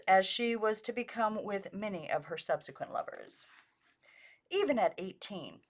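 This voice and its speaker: A woman's voice: medium pitch, a bit bright. A woman reading a biography aloud as an amateur narrator, in an unpolished way that stumbles over foreign names. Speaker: audiobook reader.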